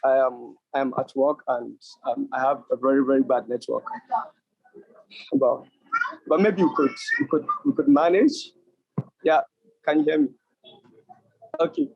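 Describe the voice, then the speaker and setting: A man speaking haltingly and off the cuff, repeating words as he goes, as a guest poet on an online call. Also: medium pitch, lively intonation, creaky, dry recording. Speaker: contributing poet. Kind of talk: unscripted answer at an online literary event. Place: at work, on a weak internet connection. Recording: muffled, lo-fi internet call, dry.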